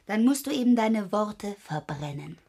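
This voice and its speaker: Woman acting a blunt, teasing grown daughter, lively intonation. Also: mid-range voice, normal speed, natural voice. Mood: teasing, coaxing. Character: writer's grown daughter, teasing, blunt, exasperated but caring. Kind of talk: German radio drama acting.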